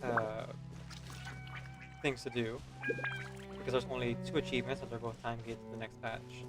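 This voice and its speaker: A man's voice: mid-range voice, flat delivery, moderate pace. A man doing casual gameplay commentary, musing to himself in a hesitant, trailing way. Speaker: streamer. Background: game music behind the voice.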